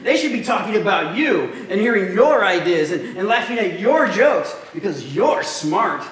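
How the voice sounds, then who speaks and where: An actor playing a smooth-talking male tempter who coaxes a friend, speaking and singing in a staged musical. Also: high-pitched, big pitch swings, normal speed, fairly bright tone. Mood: coaxing. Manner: coaxing, persuasive, sings. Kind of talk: musical theatre singing and dialogue. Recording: roomy live stage with music.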